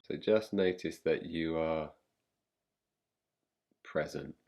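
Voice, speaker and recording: Very deep, slow-speaking male meditation guide. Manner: calm, gentle, unhurried with long pauses. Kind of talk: guided meditation, yoga nidra.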